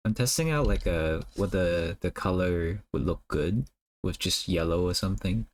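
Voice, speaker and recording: A man whose first language is Cantonese, chatting casually on a livestream while he draws, musing aloud softly with many fillers. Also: low-pitched, moderate pace. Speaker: streamer.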